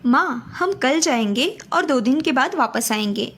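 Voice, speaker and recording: A woman's voice: sits high, lively intonation, a little slow, fairly bright tone, a little hiss or hum. A woman voicing a touchy young college girl, the younger sister in a cartoon story. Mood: eager. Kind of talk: dramatised Hindi cartoon story dialogue.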